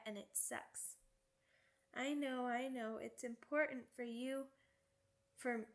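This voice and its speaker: A woman playing a young werewolf pup in an ASMR roleplay, childlike, cheeky and earnest, with pouty, rambling speech. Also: on the high side. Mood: grumpy, pouty. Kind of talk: ASMR roleplay audio drama.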